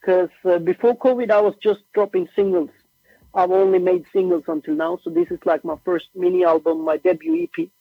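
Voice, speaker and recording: A young man from Norway whose first language is Norwegian, chatting casually as a musician guest on a remote call, relaxed and slangy. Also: high voice, a little fast, creaky, slightly rough, no echo. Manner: relaxed, slangy, says "bro" and "like" often. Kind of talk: casual interview chat. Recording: tinny phone-like remote line.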